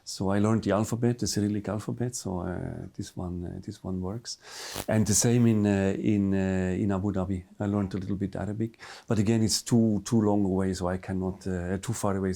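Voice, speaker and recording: A middle-aged man from Switzerland, a native Swiss German speaker with a Swiss German accent, answering interview questions in English in a relaxed, halting way with many fillers. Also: low-pitched, creaky. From Switzerland, near Bern. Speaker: hotel manager, guest.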